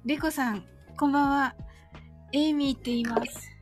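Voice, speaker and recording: A woman's voice: sits high, steady tone, moderate pace, soft background music, pitched above the performer's own voice, close to an everyday voice. A woman playing a cheerful, friendly young foreign woman in a radio drama. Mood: cheerful, polite. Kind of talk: bilingual radio drama acting.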